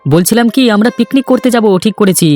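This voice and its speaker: Man voicing a high-pitched, eager young villager. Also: lively intonation, fast, a little mellow, soft background music. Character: cheerful, sociable young village man among friends. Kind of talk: cartoon story dialogue.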